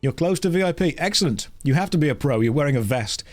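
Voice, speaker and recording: A man's voice: on the high side, expressive, fast talker. A man with a British accent hosting a casual livestream, reading chat polls aloud in a playful, wry, jokey way. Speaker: streamer.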